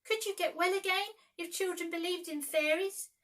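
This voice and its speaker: A woman's voice: very high-pitched, fairly even intonation, normal speed, fairly bright tone, distant mic, voice pushed up. A woman voicing a bold, proud young boy adventurer in a storybook, speaking in emphatic exclamations. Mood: anguished, urgent. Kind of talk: storybook character voice.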